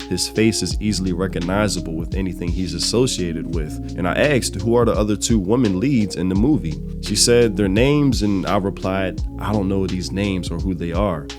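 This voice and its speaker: Raspy low-voiced man with a cold. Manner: conversational, recounting a dialogue. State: sick with a cold, raspy and dry. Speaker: channel host. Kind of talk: casual storytelling commentary. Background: music.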